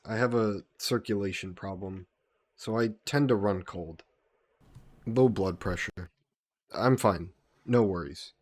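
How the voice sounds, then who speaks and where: Guy acting as a gentle vampire looking after someone, lowish voice, polite n formal, bit guilty, close dry audio roleplay. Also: no echo. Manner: polite, formal, hesitant phrasing. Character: gentle, guilt-burdened male vampire employer caring for a guest.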